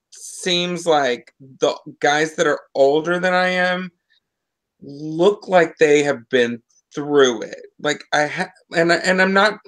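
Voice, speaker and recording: Woman, forties, chatty and self-interrupting, home webcam sound. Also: low voice. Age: 40s. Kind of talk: casual chat. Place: living room at home. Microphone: video call webcam.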